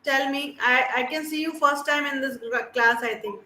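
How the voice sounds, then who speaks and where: Bright high-voiced woman counselor. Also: moderate pace, reverberant. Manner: calls on students one by one, hesitant fillers. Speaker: academic counselor. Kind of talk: leading an online class introduction. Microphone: video call.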